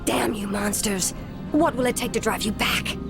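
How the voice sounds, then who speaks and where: An actress playing a proud, defiant elf ranger general in a fantasy video game, with a stern, commanding delivery. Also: female voice, on the high side, moderate pace, vocal fry. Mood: urgent anger. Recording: loud music behind the voice.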